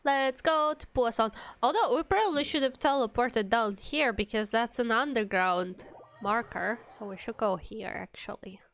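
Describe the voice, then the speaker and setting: A woman's voice: on the high side, moderate pace, a bit bright, muffled recording. A woman streaming a game live, chatting casually and playfully to viewers. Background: faint game music.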